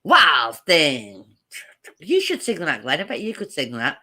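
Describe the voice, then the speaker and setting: A woman's voice: low voice, wildly swooping pitch, measured pace, bright, forceful tone. A woman co-hosting a casual live chat stream, teasing and laughing often.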